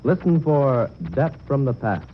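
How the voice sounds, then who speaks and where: A man announcing a radio drama in a formal, measured, dramatic 1940s style. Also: medium pitch, lively intonation. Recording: dull, faintly noisy vintage radio recording.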